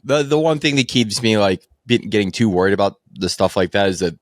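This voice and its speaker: A man's voice: lowish voice, expressive, brisk, no room sound, clean studio sound. A man co-hosting a movie podcast, talking off the cuff about film and TV, casual and sometimes profane. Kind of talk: casual opinionated film and TV chat.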